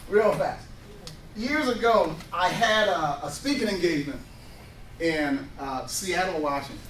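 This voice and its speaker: A man in his forties leads a workshop for a live audience, weaving personal anecdotes and acting out the dialogue with animation. His voice sits noticeably high. The recording catches him from across a reverberant room, with a faint hum of ambience behind. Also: unhurried. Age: 40s. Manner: animated storyteller who acts out dialogue. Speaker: workshop presenter. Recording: roomy room, voice picked up from a distance.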